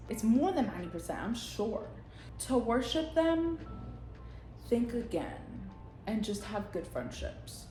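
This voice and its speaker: A woman's voice: on the high side, darkish tone, slight background noise. Blunt, laughing young woman vlogger. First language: Spanish. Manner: blunt, profane, rambling with frequent laughs. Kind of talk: unscripted casual monologue. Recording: roomy echo.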